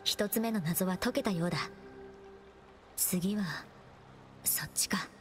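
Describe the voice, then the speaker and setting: Clear game music plays under a young female explorer voiced by an actress. She muses to herself in a composed, measured way, like a game heroine working through a puzzle, at a middling pitch. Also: close to an everyday voice. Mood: hushed musing. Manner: measured, composed, quietly musing. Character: young female wanderer, calm, observant puzzle-solving explorer. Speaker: game protagonist. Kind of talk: scripted game voice acting, thinking aloud.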